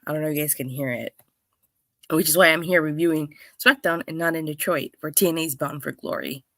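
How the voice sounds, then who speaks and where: A woman with a hoarse, clogged voice from being under the weather, hosting a chatty solo review with lots of restarts. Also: sits low, steady tone, fairly quick, a bit bright, no echo, clean studio sound. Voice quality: clogged, stuffy voice. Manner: chatty, rambling, with many restarts and fillers. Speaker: wrestling show reviewer, host. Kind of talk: casual solo review talk.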